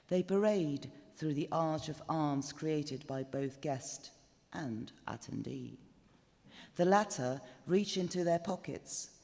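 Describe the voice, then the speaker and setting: A man narrates a ghost story live with a very slow, measured delivery. His voice sits a little high and is dramatic and deliberate, carried on the long echo of a chapel. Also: vocal fry, dark tone. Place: indoors, stone chapel. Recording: reverberant chapel live recording.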